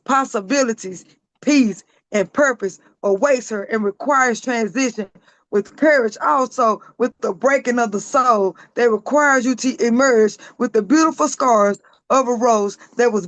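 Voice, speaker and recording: A woman with a raspy, hoarse voice, a guest author reading her own poems aloud in a slow, emphatic spoken-word style. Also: on the high side, no room sound, poor audio.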